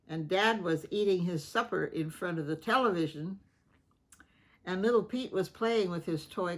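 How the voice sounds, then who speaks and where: A woman reading a picture book aloud to children, gently chatting and stopping to ask the listener questions. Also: fairly low pitch. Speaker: storybook reader.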